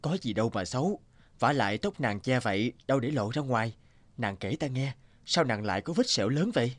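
A man's voice: mid-range voice, expressive, a little fast, no echo, close to an everyday voice. Man as an earnest young medicine seller. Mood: tender. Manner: earnest, polite. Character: upright, kindly young male medicine seller.

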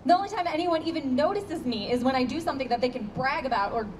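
A woman's voice: sits high, fast, natural voice. A young woman acting an ignored, aggrieved nineteen-year-old daughter in a staged play reading, insistent and complaining. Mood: upset, aggrieved. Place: small outdoor stage. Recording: distant mic outdoors, background noise.

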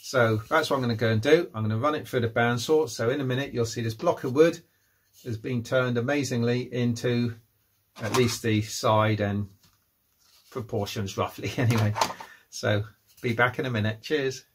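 A man in his fifties presenting a casual hands-on how-to vlog, informal and low-key, thinking aloud as he works. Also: fairly even intonation, moderate pace. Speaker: hobbyist presenter. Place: at home, indoors and in a workshop. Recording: noisy home-made recording with clicks.

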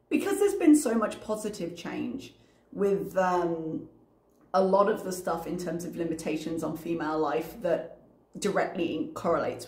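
A woman's voice: on the low side, a little mellow. A tired woman in her thirties with a slight cough, chatting casually to camera as a reaction channel host in a rambling, self-mocking way. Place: small room, indoors. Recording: roomy echo.

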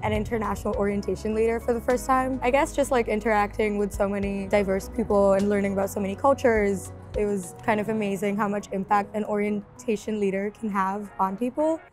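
A woman's voice: mid-range voice, steady tone, a little fast, few pauses, darkish tone, soft background music. Young woman, cheerful, mid voice, outdoor interview. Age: young adult. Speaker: art student. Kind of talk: interview answers.

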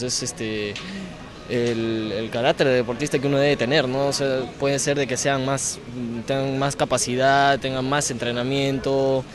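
Young male athlete, Peruvian accent, medium pitch, conversational interview in a crowded bowling alley. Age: young adult. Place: large indoor bowling alley. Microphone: handheld interview microphone. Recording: busy bowling alley chatter.

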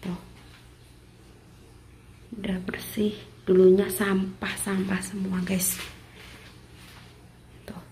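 Young woman, flat, mellow voice, chatty phone-filmed home tour with a faint room hiss behind her. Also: mid-range voice, very slow, long pauses. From Indonesia. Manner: chatty, repeats "seven seperti ini", often drops to a whisper. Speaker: domestic worker giving a house tour. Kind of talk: casual house-tour vlog. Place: apartment in Taiwan, indoors. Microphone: handheld phone filming while walking.

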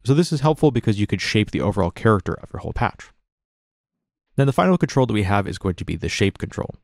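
A man narrating a casual step-by-step software tutorial in an informal, explanatory way. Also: fairly low pitch, sing-song, quick pace, gravelly, no echo, clean studio sound.

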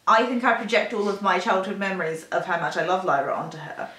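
A woman's voice: mid-range voice, quick pace, a bit bright. A young woman talking reflectively and conversationally in a casual book discussion. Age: young adult. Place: indoor room lined with bookshelves. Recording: roomy echo, audible background noise.

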